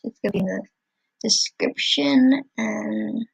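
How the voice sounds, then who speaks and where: A woman comic dub creator talking casually and haltingly to her viewers. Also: on the high side, vocal fry, husky, no echo, poor audio. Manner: hesitant, halting. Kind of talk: casual video outro to viewers.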